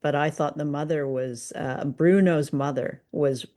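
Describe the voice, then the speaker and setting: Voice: medium pitch, fairly even intonation, measured pace, a little mellow. Podcast host chatting about radio drama. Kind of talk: casual discussion of old-time radio drama.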